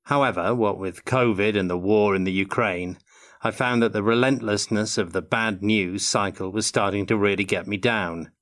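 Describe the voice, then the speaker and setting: Older man, slightly deep pitch, measured, articulate, prepared interview answers. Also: steady tone, a bit bright. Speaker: interview guest, tabletop game designer.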